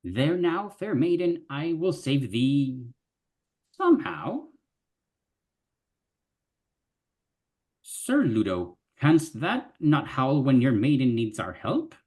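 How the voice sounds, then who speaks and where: A gallant little fox knight speaks in a courtly, old-fashioned way, as a man acts him out. His pitch leaps high and drops low within a phrase, and he takes his time. It is a fan reading of a fantasy radio play. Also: very animated, takes it slowly, darkish tone. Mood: chivalrous. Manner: archaic, chivalrous speech with big pitch leaps. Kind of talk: live dramatic reading.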